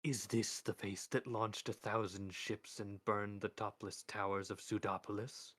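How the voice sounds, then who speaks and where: Man voicing Death, slow and menacing. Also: lowish voice, flat delivery, a bit bright, dry recording. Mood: stern. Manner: slow, measured, stern. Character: Death, cold menacing skeletal male master.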